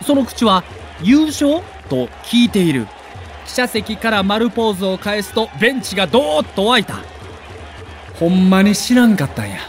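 A man's voice: sits high, sing-song, normal speed, soft background music. A man with a Kansai accent narrating an audio sports documentary from a script in a theatrical storytelling manner. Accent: Kansai Japanese.

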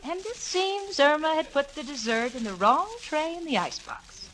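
A woman's voice: high-pitched, very animated, normal speed, a bit bright. A woman acting a wry, ladylike working woman who narrates and plays in a 1940s radio comedy. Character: wry, proper working woman, long-suffering roommate and narrator. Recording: old radio recording, heavy noise.

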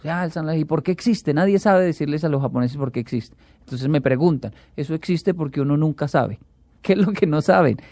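A man with a gravelly, creaky voice, chatting as a guest on a talk show. He tells his stories with energy and acts out what others said. His voice sits fairly high for a man, with a dark, mellow tone. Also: a little fast, no room sound. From Colombia. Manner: animated storyteller, acts out quotes. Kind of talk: casual chat.

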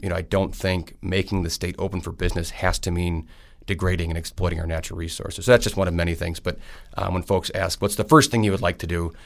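A man's voice: sits low, fairly even intonation, fast, vocal fry. A man in his thirties from Wisconsin, answering interview questions in a friendly, rambling way with many fillers. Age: 30s. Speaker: state senator.

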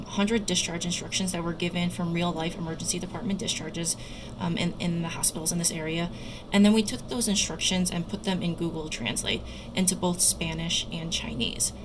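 A woman doctor and researcher giving careful, somewhat halting explanatory answers as an interview guest. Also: on the low side. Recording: loud background noise.

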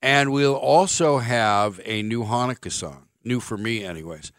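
A man's voice: medium pitch, measured pace, fairly bright tone, dry recording. A middle-aged man hosting sports talk radio from a studio mic, blunt and sarcastic as he reads listener texts and gives his opinions. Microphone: studio microphone.

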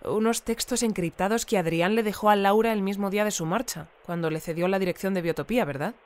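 An actress playing a courteous, composed bulletin host with an accent from Spain, in a fictional radio interview. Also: female voice, mid-range voice, fast talker, darkish tone, natural voice. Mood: composed, friendly.

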